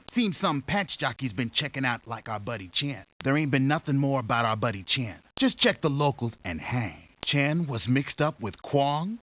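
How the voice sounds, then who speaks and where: A man's voice: medium pitch, fairly bright tone, no echo, muffled recording. A man playing a slangy, cocky underworld informant in a video game, talking in a colloquial street manner. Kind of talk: character dialogue in a video game.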